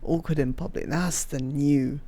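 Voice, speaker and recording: A woman's voice: deep voice, steady tone, a little slow, a little hiss or hum. A woman giving casual gameplay reactions as a streamer, in blunt, sweary, muttered asides. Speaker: game streamer. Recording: loud game music behind.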